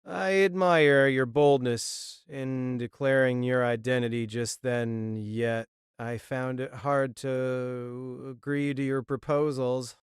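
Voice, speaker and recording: A man playing a courteous noble spearman knight in an audio drama, speaking in a formal, measured way. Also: mid-range voice, fairly even intonation, smooth, dry recording, studio quality. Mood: courteous, disapproving.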